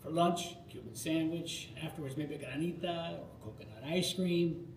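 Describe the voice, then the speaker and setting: An older American man performing a nostalgic autobiographical monologue live, theatrical, with dramatic pauses. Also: fairly high pitch. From Florida, United States. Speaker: storyteller. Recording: roomy live recording with faint noise.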